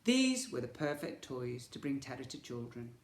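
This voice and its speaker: A man reading a children's story aloud in an expressive, theatrical way, with emphatic delivery. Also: medium pitch, moderate pace. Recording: echoey room.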